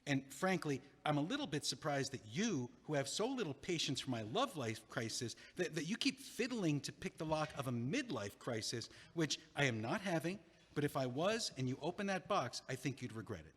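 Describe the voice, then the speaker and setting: An actor playing a flustered middle-aged lawyer after a breakup, stammering, wordy and defensive, in an audio drama. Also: male voice, fairly high pitch, big pitch swings, moderate pace, fairly bright tone, husky, big-room sound, full character voice. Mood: conciliatory. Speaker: attorney.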